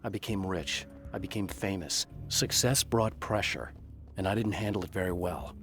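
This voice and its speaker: An actor playing a brooding, tormented novelist in a video game, narrating gravely and with measured delivery like noir. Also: male voice, deep voice, noisy background, close to an everyday voice. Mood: somber, regretful. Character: brooding tormented bestselling crime novelist hero, adult man. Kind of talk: scripted first-person noir narration.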